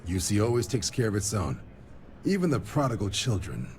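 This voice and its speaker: An actor playing a breezy, wisecracking space navy officer in casual, joking video game dialogue. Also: male voice, fairly low pitch, sing-song, a little fast, a little mellow, a little hiss or hum. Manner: casual, joking, clipped sentences.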